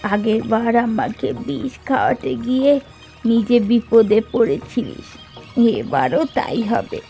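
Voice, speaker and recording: Creaky, scornful old crone acted by a woman. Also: on the high side, darkish tone, full character voice. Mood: taunting. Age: older. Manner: taunting, drawn-out scolding. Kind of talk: cartoon fairy-tale voice acting. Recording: background music under dialogue.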